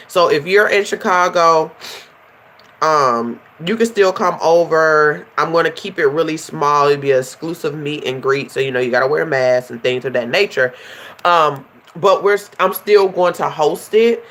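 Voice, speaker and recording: A woman talks straight to her livestream viewers in a chatty, animated way. Her voice is stuffy and nasal from a runny nose, with a slight hoarseness underneath. She keeps it loose and conversational, like a coach catching up with her followers. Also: low-pitched, moderate pace. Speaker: life coach hosting a livestream. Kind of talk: casual livestream chat.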